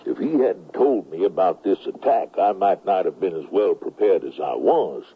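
Stately army general, muffled recording. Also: male voice, low voice, expressive, normal speed. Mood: solemn. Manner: formal, courteous, stately. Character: dignified, loyal Union army general, formal and steadfast. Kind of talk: 1970s radio drama acting. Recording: dull, noisy 1979 radio recording.

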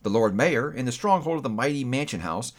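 A man narrates a classic tale in audiobook style. His mid-range voice rises and falls vividly, giving the measured storytelling a theatrical feel. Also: mid-range voice, expressive. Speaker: book reviewer reading aloud.

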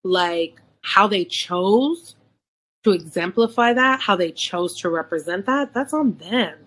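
A woman's voice: medium pitch, animated, slow pace, fairly bright tone. A middle-aged woman in casual conversation, candid and emphatic, repeating words for emphasis and sometimes mixing in Spanish. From San Francisco, United States. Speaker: podcast co-host.

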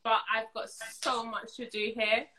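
A woman's voice: on the high side, level tone, unhurried, bright, forceful tone, rough recording. A woman chatting casually and earnestly about her work as an event organiser, often saying "you know". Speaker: event organiser being interviewed. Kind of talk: casual chat.

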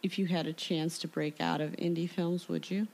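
Female American host, notably low register, informal and jokey conversational interviewing. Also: steady tone, normal speed, creaky, darkish tone, low-quality recording. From United States, Illinois. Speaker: podcast host. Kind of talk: casual chat, interviewing.

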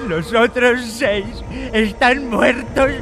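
An actor playing a wary, eager old castaway pirate in a Spanish radio drama, halting and repeating himself, with a Castilian accent. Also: male voice, very high voice, music in the background, heavily acted. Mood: bitter storytelling. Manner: halting, repeats words, excitable.

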